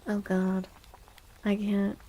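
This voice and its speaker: A woman livestreamer chatting in a soft, breathy ASMR style, gentle and unhurried, often dropping into whispers close to the microphone. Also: mid-range voice, completely flat delivery, rough recording.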